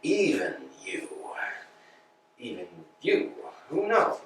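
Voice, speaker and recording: A man's voice: on the high side, sing-song, slow, fairly bright tone, slight background noise, full character voice. A man playing a pompous bellowing landowner. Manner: grandiose, theatrical, swings between bluster and murmurs. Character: pompous self-important landowner, middle-aged man. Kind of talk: staged play reading. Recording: echoey room, mic far off.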